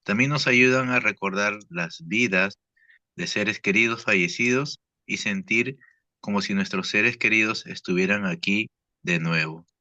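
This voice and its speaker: A man's voice: lowish voice, a little slow, bright, forceful tone, dry recording. Man reading aloud over a dull, dry line. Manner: careful reading with a small restart. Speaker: workshop participant.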